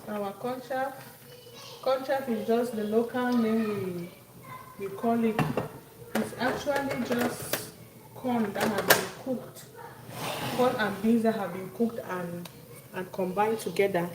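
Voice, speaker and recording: A woman's voice: a little high, slow pace, long pauses, recorded from a distance, noisy background. A woman with a Cameroonian accent chatting casually as host of a cooking live stream, often repeating her phrases and addressing viewers directly. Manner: repeats phrases, thanks and greets viewers by name.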